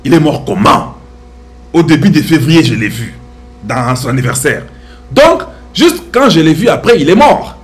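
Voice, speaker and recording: A man's voice: a little high, big pitch swings, normal speed. A middle-aged man with a Congolese French accent giving impassioned, preachy social commentary to his viewers, full of rhetorical questions.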